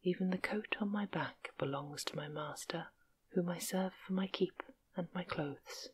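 Humble peasant-raised prince, young and earnest, whispered slow and low by a woman narrator. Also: slight background noise, lightly acted.

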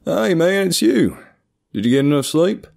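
A man's voice: medium pitch, very animated, brisk, vocal fry, darkish tone, pitched above the performer's own voice. A man voicing an overly cheerful, chatty coworker with a country accent. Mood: cheerful, friendly.

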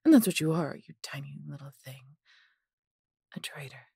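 A woman voicing a possessive, haughty yet doting female dragon in an intimate audio roleplay, condescending and theatrical, breathy and husky, often dropping to a whisper. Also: low-pitched, expressive, moderate pace, mellow, dry recording, studio quality, exaggerated voice. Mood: menacing, scornful. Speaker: voice actor.

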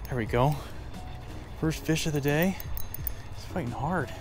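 A man's voice: a little high, a little slow, over music. An American man in his thirties giving casual, upbeat running commentary as a fishing vlogger, recorded outdoors. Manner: chatty, informal, upbeat. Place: outdoors by a small lake.

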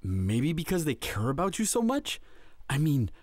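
A man's voice: a little high, huge pitch swings, moderate pace, darkish tone, dry recording. A man playing a teasing, laid-back vampire friend in an audio roleplay. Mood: concerned.

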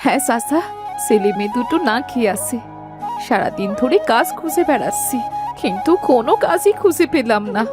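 A woman's voice: a little high, lively intonation, fairly quick, music in the background, natural voice. A woman voicing a poor village mother in a cartoon, with an anxious, pleading manner. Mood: plaintive. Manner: anxious, plaintive. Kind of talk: cartoon voice acting.

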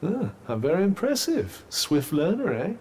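A man's voice: high-pitched, huge pitch swings, normal speed, a little mellow, a little hiss or hum. A man playing an urbane magical talking cuff in a video game, with a formal, drily teasing manner. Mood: dry, teasing. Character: urbane, dry-witted talking magical cuff, male companion.

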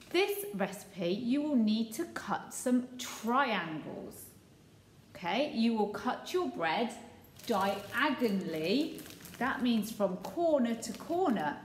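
Slow, lively woman teacher. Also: mid-range voice, reverberant. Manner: slow, sing-song, gestures as she explains. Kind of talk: cooking lesson for young children. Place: small home kitchen, indoors. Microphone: webcam or phone at close range.

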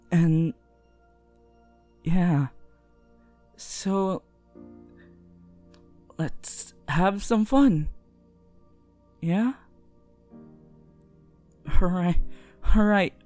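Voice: mid-range voice, warm tone. A performer voicing a shy, earnest, lovestruck teenage boy hero in an anime roleplay, stammering tenderly. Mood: flustered, loving. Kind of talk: anime fan audio roleplay addressed to the listener.

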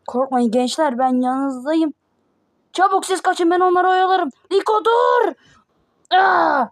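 A woman voicing panicky kid characters in a homemade cartoon, with excitable exclamations. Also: high-pitched, no room sound, clean studio sound. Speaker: cartoon voice performer.

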